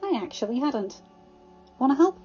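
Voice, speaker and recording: A woman voicing an excitable, friendly teenage boy with an earnest, bubbly, informal way of talking in a story reading. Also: high voice, moderate pace, lo-fi sound, soft background music, voice pushed up. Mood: eager.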